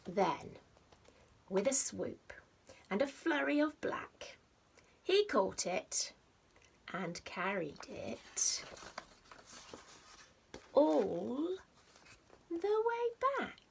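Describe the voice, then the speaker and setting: A woman reading a picture book aloud to young children like a teacher, expressively, with dramatic pauses and stressed rhymes and sound words. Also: fairly high pitch, big pitch swings, gravelly, slight background noise. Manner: simple explanatory asides addressed to young children. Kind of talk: animated storybook read-aloud.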